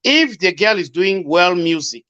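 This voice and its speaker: A man with a Cameroonian Pidgin English accent, arguing forcefully as a guest in a panel discussion. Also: high-pitched, expressive, measured pace, highs cut off.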